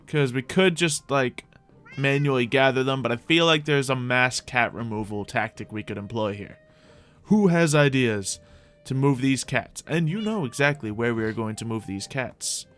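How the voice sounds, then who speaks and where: A man's voice: medium pitch, normal speed, a bit bright, soft background music. A man hosting a livestreamed game roleplay, giving whimsical, rambling in-character commentary and talking to his audience in a theatrical way. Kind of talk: in-character gameplay commentary, chatting with viewers.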